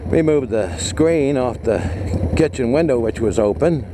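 A man's voice: medium pitch, animated, unhurried. A man, a former police officer, telling a story in a laid-back, rambling, wry way while riding a motorcycle outdoors. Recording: loud engine and wind noise.

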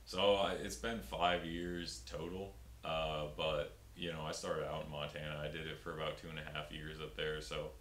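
A man's voice: little pitch movement, moderate pace, hardly any pauses, a bit bright. A young man, a stand-up comedian, talking casually in an interview with many fillers and restarts, filmed in a small room. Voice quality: very deep voice. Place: small room before a pink backdrop. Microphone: fixed camera, upper-body framing. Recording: roomy with faint hiss.

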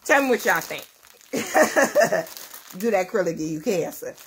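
A woman's voice: mid-range voice, expressive, measured pace, fairly bright tone, slight background noise. A woman, chatting casually to camera at home, rambling and laughing as she talks. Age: 30s. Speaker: vlogger, mother of many. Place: small indoor room at home.

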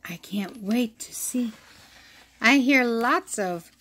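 A woman reading a children's picture book aloud, with an expressive storytelling delivery. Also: on the high side. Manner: slow, expressive reading with acted-out sound effects.

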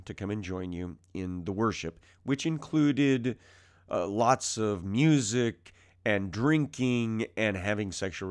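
A man with a strained, raspy voice while ill, teaching the Bible verse by verse from his basement, calm and conversational with frequent fillers. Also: fairly low pitch. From United States. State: ill with mild symptoms. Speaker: preaching minister. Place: home basement.

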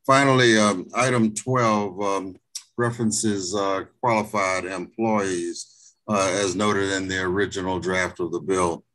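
A man testifying at a hearing over a video call, halting, with many fillers and restarts. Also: deep voice, a bit bright, rough recording. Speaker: state representative presenting a bill.

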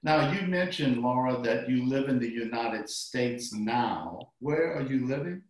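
A man hosting a promotional interview from his office, with a booming salesman delivery. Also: expressive, measured pace. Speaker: host. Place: office with framed photos. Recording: very echoey room.

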